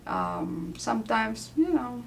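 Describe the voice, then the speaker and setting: Gravelly Peruvian-accented woman in her forties. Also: fairly high pitch, slow, slight background noise. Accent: Peruvian Spanish. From Peru. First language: Spanish. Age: 40s. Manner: relaxed, many soft fillers and laughs. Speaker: interviewee. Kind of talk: casual interview.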